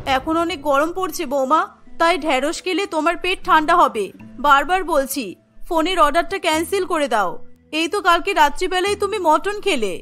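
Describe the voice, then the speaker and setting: An older cartoon mother-in-law, played by a woman, caring and fussy. Her bright, high voice is solicitous and pleading, with faint music behind it. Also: moderate pace. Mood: concerned.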